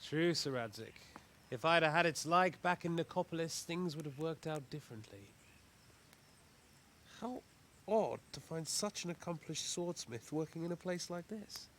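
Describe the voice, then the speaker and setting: A man's voice: a little high, expressive, normal speed, fairly bright tone, slight background noise. An actor playing a polite, worldly travelling nobleman with a formal, courtly manner in a game cutscene. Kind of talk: dramatic game cutscene acting.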